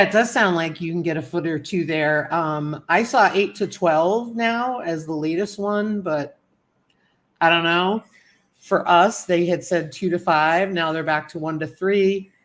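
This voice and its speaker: Casual woman livestream host, a little sniffly, easygoing chat with viewers, voice a touch low. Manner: chatty, warm greetings to viewers by name. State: sniffly from a cold.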